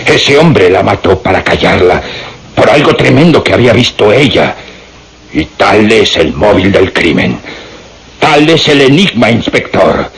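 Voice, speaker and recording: Grave, low-voiced, intensely dramatic doctor. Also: male voice, a bit bright, exaggerated voice. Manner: dramatic, emphatic, formal diction. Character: grave, intense male doctor voicing ominous suspicions. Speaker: radio drama actor. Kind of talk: melodramatic Spanish-language radio serial acting.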